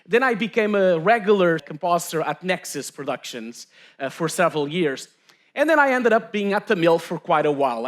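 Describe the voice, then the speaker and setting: A middle-aged man from Portugal giving a joking, chatty conference presentation to a live audience. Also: high-pitched. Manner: self-mocking, chatty, many fillers.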